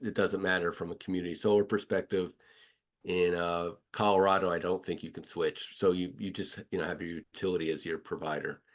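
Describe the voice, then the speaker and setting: A man presenting a webinar to customers, talking in a halting way with many uhs and restarts. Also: sits low. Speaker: company head presenting to customers.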